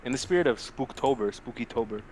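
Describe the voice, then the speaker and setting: Male podcast co-host, mid-pitched, slangy and profane, jokey chat, audible background noise. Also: expressive, fast talker, darkish tone, low-quality recording. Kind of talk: casual podcast chat, weird news banter.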